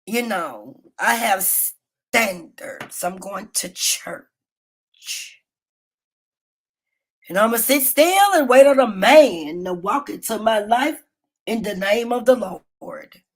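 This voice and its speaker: A woman hosting a casual livestream, telling stories in a loud, profane and animated way. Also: slow pace, long pauses. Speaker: livestream host. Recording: low-quality phone stream.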